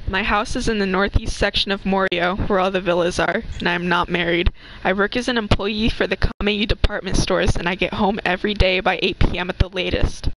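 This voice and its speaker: A mid-pitched voice chats and recites informally, with slangy, teasing phrasing. The delivery is that of an amateur among friends. The home recording is clearly noisy. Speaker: co-host joining a fan fiction reading. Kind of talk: casual joking chat and amateur recitation.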